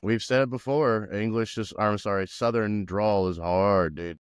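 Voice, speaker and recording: A man's voice: fairly low pitch, a little slow, smooth, dry recording, studio quality. A man co-hosting a movie podcast, dropping brief wry remarks into the chat. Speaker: podcast co-host. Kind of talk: casual movie review chat.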